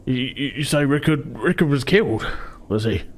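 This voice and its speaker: A man's voice: medium pitch, expressive, moderate pace, a little hiss or hum, voice pushed up, natural voice. A man playing an anxious, deferential dwarf who manages an opera house, stammering and fretful.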